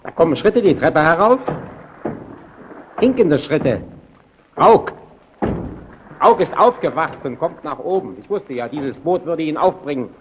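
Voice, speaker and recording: A sly, resentful lighthouse keeper in a vintage radio play. His voice sits high and swings widely as he insinuates and dodges. The recording is dull and hissy. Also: lively intonation, unhurried, long pauses. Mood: brooding. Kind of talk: old German radio play acting.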